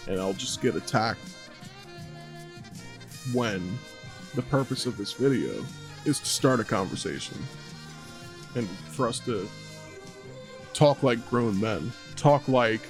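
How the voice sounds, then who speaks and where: A man speaking earnestly and colloquially in a personal video essay, as the creator narrating it. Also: lowish voice.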